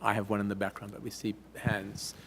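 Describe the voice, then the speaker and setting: A man moderating a conference panel, briskly calling on people to speak, heard from away from the mic. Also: lowish voice, completely flat delivery, a bit bright, husky. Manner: brisk, directing turns.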